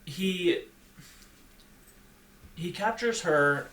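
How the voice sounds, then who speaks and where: A man speaking in a thoughtful, halting and measured way as a panelist in a conversational podcast discussion. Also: sits high, a bit bright. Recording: echoey room, faint background noise.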